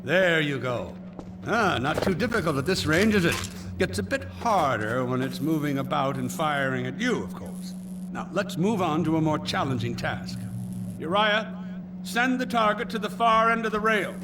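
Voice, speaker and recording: An actor playing a brusque, self-satisfied older rocket scientist in a video game, pompous, sarcastic and officious. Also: male voice, a little high, lively intonation, normal speed, very noisy, natural voice. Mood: smug, instructive. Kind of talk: video game character acting. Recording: echoey, loud game music and gunfire.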